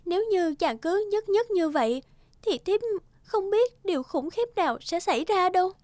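A gentle, solemn fairy wife. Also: female voice, extremely high pitch, expressive, moderate pace, no echo. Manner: soft, formal and archaic. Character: gentle young fairy wife, grave and devoted. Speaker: voice actor. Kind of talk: cartoon dubbing.

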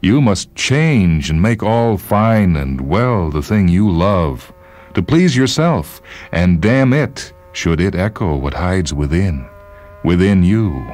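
A man's voice: deep voice, big pitch swings, takes it slowly, a little mellow, soft background music, voice pushed up, exaggerated voice. A man voicing a sorrowful, accusing conjured man in a short-story reading, with a dramatic, wounded delivery.

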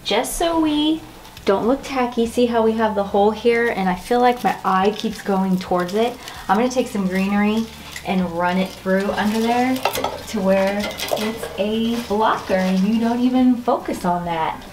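A woman's voice: mid-range voice, unhurried, a little mellow, slight background noise, rough recording. A woman in her forties hosting a chatty home decor vlog, talking through a table setting in her dining room with a camera across the table. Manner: chatty, bubbly, self-teasing. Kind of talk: casual how-to vlog.

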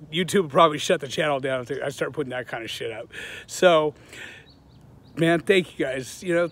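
A man's voice: fairly high pitch, creaky, fairly bright tone. A man in his forties talking casually to his viewers in a loose, rambling, earnest way with plenty of swearing, recorded outdoors on a phone held at arm's length. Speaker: vlogger, channel host. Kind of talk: casual selfie vlog to viewers. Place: outdoors on a sunny suburban street.

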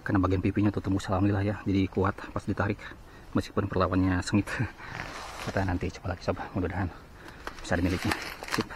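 A man vlogging while slingshot fishing on a riverbank, giving excited running commentary. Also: low voice, fast talker, a little hiss or hum. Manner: excited exclamations and frequent thanks to God. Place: outdoors on a riverbank in hot sun. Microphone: head-mounted action camera.

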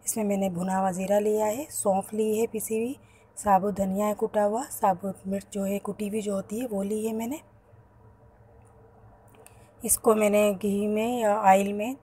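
A woman, hosting a home cooking channel and calmly talking through each step of a recipe. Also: medium pitch, breathy edge. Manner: explains each step plainly to viewers. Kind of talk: home cooking tutorial voice-over. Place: home kitchen.

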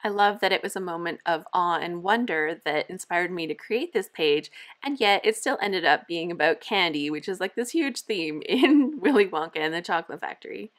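A woman's voice: lowish voice, expressive, normal speed, few pauses. A middle-aged woman casually narrating her scrapbooking process as a video host, chatty and self-amused. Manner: chatty, self-amused, with frequent light laughs. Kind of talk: casual crafting voice-over narration.

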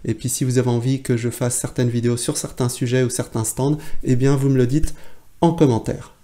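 Mellow-voiced man chatting to camera. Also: mid-range voice, little pitch movement, fairly quick. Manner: chatty, with many fillers and restarts. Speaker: photography blogger and trainer. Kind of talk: casual video blog to camera.

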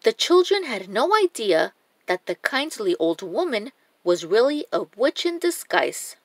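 Woman, children's storybook narration, unhurried, animated intonation, deliberate, dry recording. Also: a little high, dry recording. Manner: deliberate, expressive. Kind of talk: expressive scripted storybook narration.

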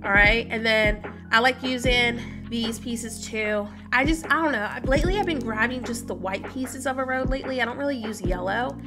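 A woman in her thirties, stuffy from a cold, chatting casually with viewers while livestreaming a game and greeting them by name. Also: fairly high pitch. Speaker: streamer. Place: home room, indoors. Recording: music playing behind voice.

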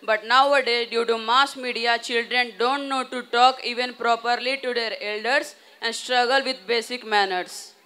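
A man's voice: very high-pitched, fairly even intonation, moderate pace, few pauses, bright, forceful tone, clean tone. A teenage boy with an Indian English accent, reciting his part in a rehearsed school panel discussion on a handheld mic on an outdoor stage. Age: teens. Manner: recited, declamatory delivery. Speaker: student panelist.

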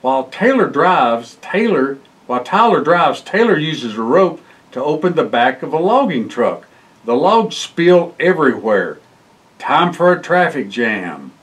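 An older man reading a children's picture book aloud in an expressive way, with exclamations and short asides. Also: fairly high pitch, unhurried. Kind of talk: storybook read-aloud for children. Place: indoor library room, seated by bookshelves.

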